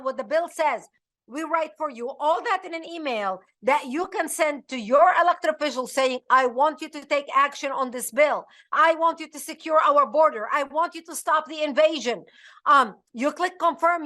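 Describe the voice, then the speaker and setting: A woman's voice: high voice, pushed and bright. A middle-aged woman from Lebanon, hosting a political advocacy show in an urgent, emphatic way. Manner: emphatic, urgent, with frequent restarts. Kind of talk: impassioned political talk.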